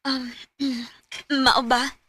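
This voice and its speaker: A woman's voice: high-pitched, flat delivery, very slow, sharp tone, slight background noise, heavily acted. An actress playing a shy married woman in a secret affair, speaking hesitantly with a Cebuano Filipino accent in a radio drama. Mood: coy, uneasy. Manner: hesitant, coy. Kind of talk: radio drama acting in Bisaya.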